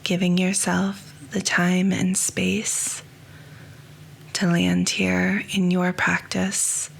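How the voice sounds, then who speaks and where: A woman guiding a yoga nidra meditation, speaking softly and slowly, often close to a whisper. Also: lowish voice, monotone, creaky, a little hiss or hum, poor audio. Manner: soft, slow and hushed, often near a whisper. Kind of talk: guided meditation.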